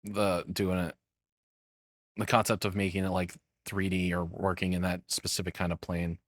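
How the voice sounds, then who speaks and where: A man's voice: low voice, flat delivery, moderate pace, no echo, studio quality. A man giving casual, opinionated commentary, rambling and swearing bluntly as he goes. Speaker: streamer reacting to a video.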